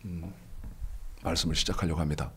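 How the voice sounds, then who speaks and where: A man in his sixties preaching a Sunday sermon, his voice stuffy and nasal from a cold, rising high and emphatic as he drives his points home. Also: fairly even intonation, a little fast, pushed and bright, slightly rough. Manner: rises to an emphatic raised pitch when preaching. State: congested with a cold. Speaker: pastor.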